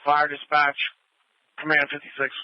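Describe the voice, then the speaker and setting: A male fire dispatcher relaying calls in flat, clipped phrasing over scanner radio. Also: on the high side, normal speed, no room sound. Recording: muffled scanner radio audio.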